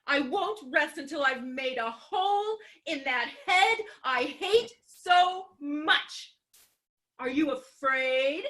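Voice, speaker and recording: A woman playing a very shrill, proud, furious lady. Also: lively intonation, takes it slowly, pushed and bright, heavily acted. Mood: scornful, mocking. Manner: melodramatic, imperious, exclamatory, abruptly contradicting herself. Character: proud, furious woman torn between hatred and love. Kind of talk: virtual staged reading of a stage farce.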